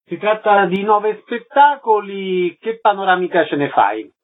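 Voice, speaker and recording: A man's voice: sits high, animated, unhurried, narrow, tinny audio. A man hosting a radio interview, introducing the guest and asking short questions.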